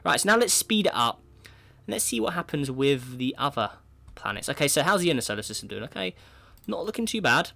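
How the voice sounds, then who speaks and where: A man's voice: a little high, big pitch swings, a little fast, gravelly, sharp tone. A man with a cold and a sore throat, chatting casually as he comments on a space simulation game, thinking aloud and often starting with "right, so". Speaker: gaming video creator.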